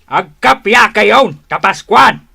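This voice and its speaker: An actress playing an exasperated bystander breaking up a quarrel in an Ilocano radio drama, exclaiming and pleading. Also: female voice, medium pitch, expressive, slow pace, vocal fry, heavily acted. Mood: alarmed, exasperated.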